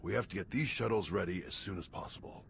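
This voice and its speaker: A man playing a calm, wise old Jedi master in a video game, speaking in a measured, formal and deliberate way. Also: sits low, creaky, slight background noise. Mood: grave, thoughtful. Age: older. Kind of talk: video game cutscene voice acting. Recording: music behind the voice.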